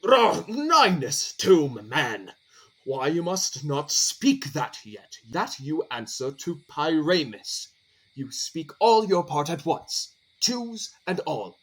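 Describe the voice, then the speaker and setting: An actor playing a fussy, flustered director of amateur players who stammers and corrects, in comic Shakespearean audio drama. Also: male voice, fairly high pitch, very animated, slow pace, slightly rough, exaggerated voice, poor audio. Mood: exasperated.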